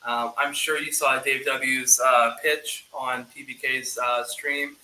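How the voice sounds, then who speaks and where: A man in a casual chat, upbeat and animated. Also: mid-range voice, slow pace, reverberant, slight background noise, poor audio.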